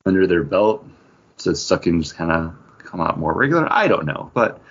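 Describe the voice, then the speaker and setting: Lower-voiced man on dull podcast audio. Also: animated, normal speed, gravelly, slightly rough. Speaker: podcast host. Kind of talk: casual chat about comic books.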